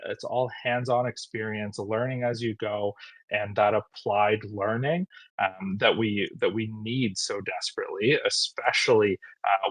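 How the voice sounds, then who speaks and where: A man's voice: lowish voice, a bit bright, no room sound, rough recording. A man hosting a college webinar over a video call, upbeat and chatty with frequent fillers. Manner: friendly, filler-heavy, upbeat. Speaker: webinar host, college recruitment manager. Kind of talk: casual webinar introduction.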